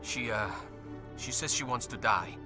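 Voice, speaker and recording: A man plays an uneasy young-ranking officer in a sci-fi game, a navy lieutenant with a mid-pitched voice. He speaks hesitantly and earnestly, with an apologetic edge, over clearly audible music. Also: a little slow, close to an everyday voice. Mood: worried, pleading. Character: anxious, apologetic male navy lieutenant on docking bay duty. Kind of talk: video game voice acting.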